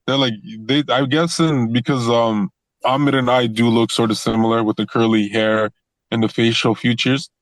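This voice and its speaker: Young male wrestler guest, easygoing, rambling restarts, mid-pitched, dry mic. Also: normal speed, dry recording, low-quality recording. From Toronto, Canada. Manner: relaxed, repeats words and restarts often, laughs easily. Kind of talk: casual interview chat.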